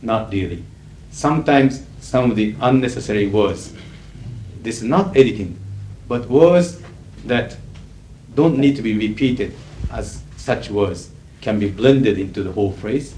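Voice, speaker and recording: Low-voiced Japanese-accented man lecturing. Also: mellow. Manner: measured, emphatic, frequent "uh" fillers. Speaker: interpreter giving a lecture. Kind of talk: lecture to an audience. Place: lecture hall. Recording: echoey 1980s hall recording with faint hiss.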